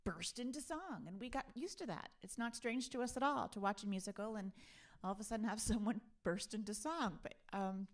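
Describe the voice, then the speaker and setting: A woman's voice: medium pitch, fairly quick. A woman hosting a casual live stream, chatting in a playful, conversational way. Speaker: live stream host and musician.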